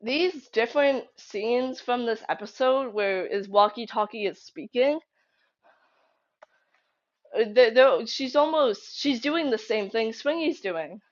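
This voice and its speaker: A woman's voice: on the high side, slow pace. A young woman giving casual fan commentary, conversational and emphatic, thinking aloud as she goes. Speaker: fan theory video creator. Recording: slightly dull lo-fi home recording.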